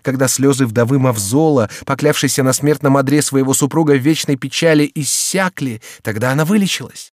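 A man's voice: medium pitch, a little fast, hardly any pauses, darkish tone, no room sound, clean studio sound, pitched above the performer's own voice, natural voice. A man voicing an anguished young count. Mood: feverish hope. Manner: impassioned, pleading, declamatory. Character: young male count, lovesick and despairing.

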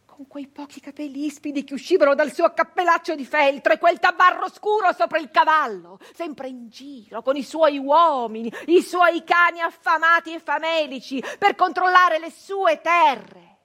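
A high-pitched female voice as a defiant peasant brigand woman of the 1800s. She tells her story as an anguished stage monologue, insisting on words and repeating them, with dramatic pauses. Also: sharp tone. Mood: bitter, indignant. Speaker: stage actress performing a monologue.

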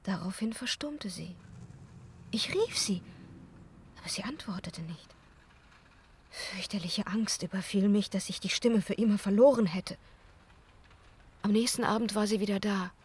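A woman playing a young, impressionable opera singer, enraptured and fearful, in a breathy, intimate radio drama style. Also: medium pitch, unhurried, pauses often, slight background noise, natural voice. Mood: confiding, troubled. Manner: breathy, intimate, slipping into hushed whispers. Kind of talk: German radio drama acting.

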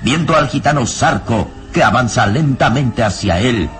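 A man's voice: mid-range voice, expressive, moderate pace, music in the background. A man narrating an old radio serial in a solemn, literary, dramatic storytelling style.

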